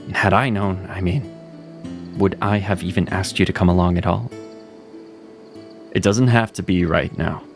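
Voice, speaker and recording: A man's voice: low voice, vocal fry. A man voicing Seraphin, a wry cat mentor. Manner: steady, reassuring, self-critical. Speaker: voice actor. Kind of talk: audio drama acting. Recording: music underneath.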